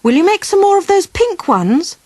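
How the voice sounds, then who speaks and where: A woman voicing a huge, greedy storybook beast that talks in a politely menacing, deliberate way. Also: very high voice, big pitch swings, darkish tone, dry recording, pitched above the performer's own voice. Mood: greedy interest. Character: huge thousand-toothed beast, greedy, fussy about cakes.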